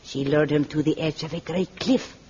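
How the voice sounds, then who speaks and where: A woman playing a confiding jailer of a hidden city in a vintage radio adventure, husky and expressive. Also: deep voice, moderate pace. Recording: dull vintage radio recording.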